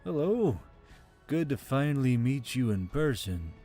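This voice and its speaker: A woman's voice: very deep voice, sing-song, a little slow, dark tone, faint music behind, pitched below the performer's own voice, full character voice. A woman voicing a courteous, wry and mysterious spirit of a magic necklace in a story. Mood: calm, wry.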